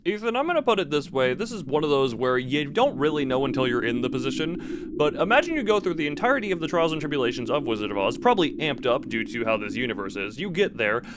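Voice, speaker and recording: A man's voice: mid-range voice, big pitch swings, fast, noisy background. A man streaming casually, moving between reading stories aloud and talking to his live chat. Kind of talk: casual livestream commentary with read-aloud story passages.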